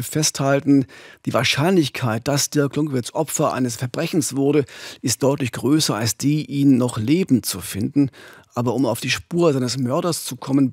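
Husky man hosting a true-crime podcast. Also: medium pitch, darkish tone, dry recording, clean studio sound. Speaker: podcast host. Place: small wood-panelled studio. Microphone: studio condenser mic with pop filter.

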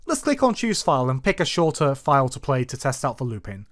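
Casual tutorial presenter with a swooping pitch. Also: big pitch swings, fast. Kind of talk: informal screencast coding tutorial narration. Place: voice-over for a screen recording.

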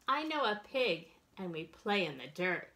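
A middle-aged American woman hosting a children's storytime, reading aloud and explaining in an expressive, deliberate way for young listeners. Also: mid-range voice. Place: indoors, plain-walled room.

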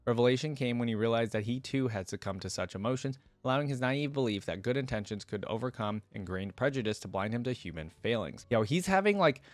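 A young man hosting a reaction video, reading lore aloud and adding casual, expressive commentary into a close desk microphone. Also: mid-range voice, quick pace, few pauses, no echo. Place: indoor desk setup at home.

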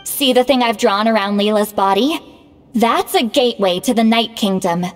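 A woman playing a proud, youthful-sounding shaman in an anime game, lecturing in a brisk, exasperated manner. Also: a little high, natural voice. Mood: confident, instructive. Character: proud, bossy, youthful-sounding female shaman called Granny. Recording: long reverb, music behind.

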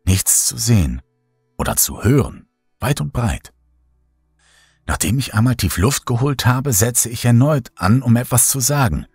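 Männlicher Hörbuchsprecher für Horror, langsam und behaucht, trockener, naher Klang. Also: male voice, mid-range voice, lively intonation, vocal fry, breathy edge, dry recording, faint music behind. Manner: slow, hushed, dramatic reading. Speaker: audiobook narrator. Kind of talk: scripted horror narration. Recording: dry close recording.

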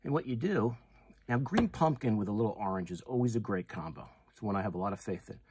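A man's voice: lowish voice, animated, quick pace, a little mellow, breathy edge, no room sound. A man, hosting a fishing channel and chatting casually through a hands-on demonstration, full of fillers and restarts. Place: tackle shop or room with walls of packaged lures, indoors.

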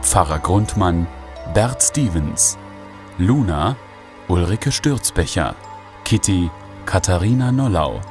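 Male announcer, low voice, slow deliberate pace, formal scripted credits read, clear articulation, music behind. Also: lively intonation.

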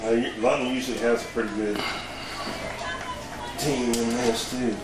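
A man chatting briefly and low-key beside the commentator at a track meet. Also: steady tone, unhurried, echoey room. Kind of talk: casual sideline chat. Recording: distant with loud outdoor noise.

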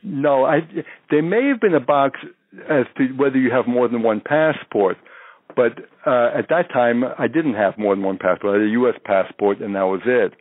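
A man's voice: mid-range voice, moderate pace. A retired undercover agent in his fifties, a native French speaker, recounting cases in a rambling interview full of fillers. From born in France, raised in the United States. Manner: rambling, many fillers and restarts. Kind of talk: interview storytelling. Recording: tinny phone-line audio.

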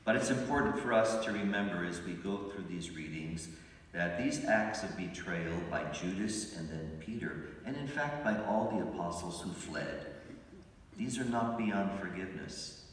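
An older man, a priest giving a homily from the pulpit, speaking in measured, reflective phrases and slowing down for emphasis. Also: low-pitched, expressive. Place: large church sanctuary, at a pulpit. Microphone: pulpit mic, recorded by a phone from the pews. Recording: echoey church from far off.

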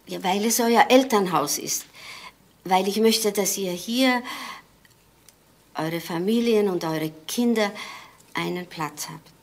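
A woman's voice: medium pitch, slow pace, long pauses, roomy sound. An actress playing an earnest, wistful widowed mother of grown sons, hesitant and imploring, in a naturalistic crime drama. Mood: earnest, hopeful.

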